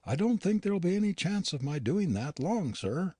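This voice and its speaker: A man's voice: high voice, very animated, normal speed, darkish tone, breathy edge, no echo, studio quality, voice pushed up, exaggerated voice. A man voicing an older Yorkshire housekeeper woman, mournful, with homely dialect speech. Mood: mournful pleading.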